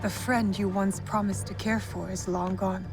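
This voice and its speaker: Actress as serious young warrior woman in a game, wary n measured, mid pitch, faint music behind. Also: steady tone, creaky, close to an everyday voice. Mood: guarded, firm. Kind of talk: video game acting.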